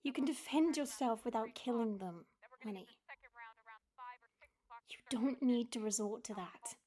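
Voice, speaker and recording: Woman acting a high-voiced, worried, pleading wife. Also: unhurried, warm tone, lightly acted. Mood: concerned, pleading. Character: concerned, exasperated young wife and mother. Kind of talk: audio drama dialogue.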